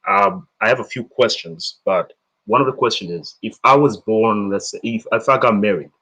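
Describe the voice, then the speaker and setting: A man's voice: fairly low pitch, normal speed, bright, forceful tone, low-quality recording. A man from Tanzania, calling in with questions and speaking politely and hesitantly. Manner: hesitant, polite, many fillers and restarts. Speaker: caller.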